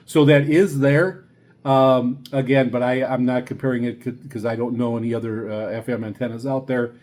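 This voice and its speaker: A middle-aged man giving a casual hobbyist review, talking in a halting, rambling, hesitant way. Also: medium pitch, steady tone, a little mellow. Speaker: radio hobbyist video host. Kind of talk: casual product review talk. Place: small home radio room, indoors.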